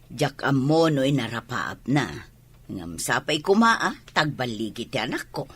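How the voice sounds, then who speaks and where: Casting: actress as proud laundry-woman mother, forceful husky voice, audio drama. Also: extremely low pitch, big pitch swings, a little slow, full character voice. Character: proud working-class parent doing laundry, hopeful for child. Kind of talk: dialogue in an Ilocano audio drama.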